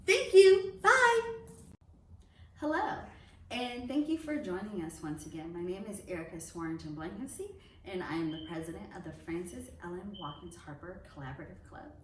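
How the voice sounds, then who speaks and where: A woman speaking as host of a children's reading series, addressing families and narrating a storybook in an expressive, carefully enunciated way. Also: lowish voice, moderate pace. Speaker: club president hosting a children's reading series. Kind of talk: welcome speech and read-aloud storybook narration for children. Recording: roomy echo, distant mic, background noise.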